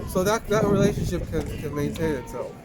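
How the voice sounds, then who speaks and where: Higher-pitched man interviewing outdoors over loud music. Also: expressive, a little fast. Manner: informal, conversational. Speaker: street interviewer. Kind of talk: casual question-led interview. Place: outdoors in an urban public spot.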